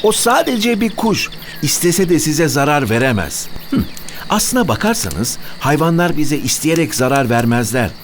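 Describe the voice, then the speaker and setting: A man voicing a gentle, patient father in a children's cartoon, reassuring and explaining. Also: a little high, big pitch swings, moderate pace, noisy background.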